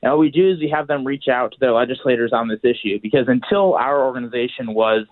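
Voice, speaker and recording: A man's voice: mid-range voice, fairly even intonation, quick pace, dry recording. Phone-like line, young American man, dry sound. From United States. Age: young adult. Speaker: environmental activist, interview guest. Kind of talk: radio interview. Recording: thin, band-limited phone-like line.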